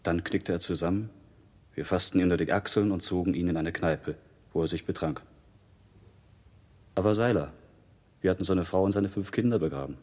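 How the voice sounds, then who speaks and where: An actor playing a weary gravedigger in a plague-era radio play, telling his grim memories in a sombre, measured way. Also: low-pitched, darkish tone. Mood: sombre recollection. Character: weary gravedigger of plague-time London, grimly recalling. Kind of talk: 1960s German radio play acting. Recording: long hollow reverb.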